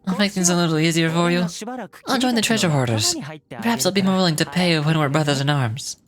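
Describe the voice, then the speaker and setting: A man's voice: on the high side, expressive, fast, a crowd in the background, natural voice. A man voicing a cocky young fighter. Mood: confident. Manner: smug, playful, mocking politeness. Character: cocky young male fighter, smooth and teasing. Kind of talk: video game voice acting.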